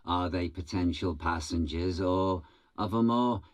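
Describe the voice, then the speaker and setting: A man's voice: low-pitched, a little slow, a crowd in the background. A man playing a menacing, sinister railway-obsessed villain in an audio drama. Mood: quietly menacing.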